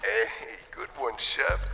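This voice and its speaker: An actor playing a cocky, wisecracking soldier in an audio drama, full of mocking, slangy banter. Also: male voice, high-pitched, gravelly, sharp tone, a little hiss or hum, full character voice. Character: cocky, teasing soldier, a wisecracking demolitions man. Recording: long hall reverb, music underneath.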